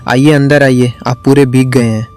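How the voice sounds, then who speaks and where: A man's voice: medium pitch, steady tone, normal speed, darkish tone, smooth, faint music behind, natural voice. A man voicing a worried, grumbling poor village husband in a cartoon story.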